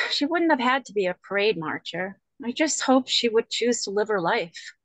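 A woman's voice: mid-range voice, expressive, normal speed, a bit bright, no echo, low-quality recording. Woman reading wistful woman in love, dry sound. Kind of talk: screenplay table reading. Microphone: video call.

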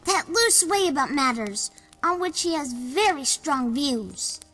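Woman performing a plucky young village boy, audiobook drama, extremely high pitch, outspoken, earnest, long reverb, faint music. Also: animated, measured pace, fairly bright tone, full character voice. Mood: earnest protest. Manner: earnest, chatty, old-fashioned boyish phrasing. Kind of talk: amateur audiobook drama acting.

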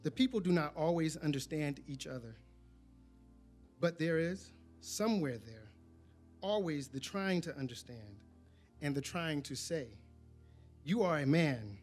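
A man's voice: on the high side, animated, measured pace, pauses often, a little mellow. A man in his forties reciting poetry at a podium microphone in an indoor event room. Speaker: community reader at a podium. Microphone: podium microphone on a stand. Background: faint background music.

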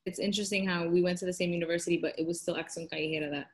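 A woman's voice: on the low side, fairly even intonation, very fast. A young woman from Florida, a university student, chatting on a video call and retelling events with quoted asides. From Florida, United States. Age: young adult. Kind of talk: casual video call chat. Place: indoor living room. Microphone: laptop webcam on a video call.

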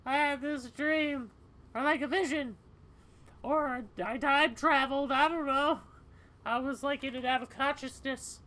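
A woman's voice: sits high, steady tone, measured pace, fairly bright tone. A woman voicing a woozy, wry young female cat in a video game, with hesitant slacker phrasing. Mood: groggy, rambling.

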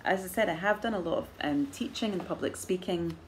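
A woman in her forties with a soft Edinburgh Scottish accent, chatting to camera at home and answering viewer questions in a thoughtful, measured way with gentle laughs. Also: fairly low pitch, a little hiss or hum, low-quality recording. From Scotland. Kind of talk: casual chat to camera. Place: living room at home, indoors. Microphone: camera in front at arm's length.

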